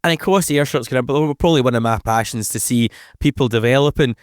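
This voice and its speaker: Upbeat radio host. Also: male voice, medium pitch, no echo, studio quality. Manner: upbeat and encouraging, with a quick stock of praise. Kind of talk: local radio interview.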